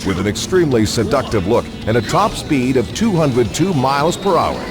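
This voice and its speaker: Lively-toned male voice-over narrator. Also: medium pitch, moderate pace, music in the background. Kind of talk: scripted promotional narration.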